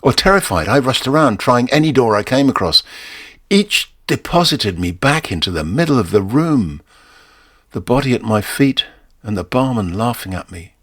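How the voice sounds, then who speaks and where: A man narrating a scripted first-person short story in a theatrical, intense way with deliberate phrasing. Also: medium pitch, lively intonation, fairly bright tone. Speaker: narrator and performer. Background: music.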